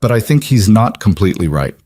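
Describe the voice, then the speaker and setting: A man's voice: fairly low pitch, sing-song, a little fast, warm tone, dry recording. A man voicing an earnest, stubborn eight-year-old girl with a careful, reasonable way of talking in a narrated story. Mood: aggrieved, stubborn. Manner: careful, reasonable, matter-of-fact.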